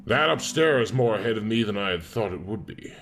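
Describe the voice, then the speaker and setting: A man's voice: on the low side, lively intonation, a little fast, fairly bright tone, soft background music. A man voicing an eerie, precocious country boy sorcerer reading his diary with rustic, unschooled diction in a horror audiobook. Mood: ominous, matter-of-fact.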